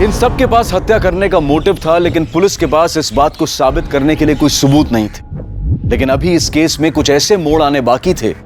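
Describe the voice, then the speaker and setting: नाटकीय अंदाज़ में अपराध कथा सुनाता पुरुष. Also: male voice, on the high side. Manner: dramatic, suspenseful. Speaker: narrator. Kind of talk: scripted crime narration. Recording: music score underneath.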